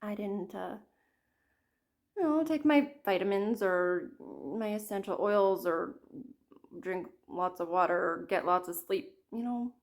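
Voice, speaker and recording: A woman in her thirties chatting casually about her cross-stitch projects, her voice a little croaky from a cold, rambling and apologizing often. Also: medium pitch, a little slow. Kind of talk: casual chat to camera.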